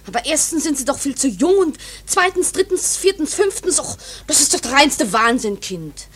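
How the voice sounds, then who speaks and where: An actress playing a sharp-tongued, exasperated young waitress who scolds and coaxes in a German radio play. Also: female voice, high voice, sing-song, moderate pace. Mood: exasperated coaxing. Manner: scolding, teasing, theatrical.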